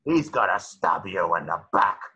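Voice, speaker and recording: A man voicing a gruff, combative cartoon boss with a raspy voice and a goading, intense manner. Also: low voice, lively intonation, moderate pace, sharp tone, exaggerated voice. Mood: gruff, warning. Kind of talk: cartoon script voice acting.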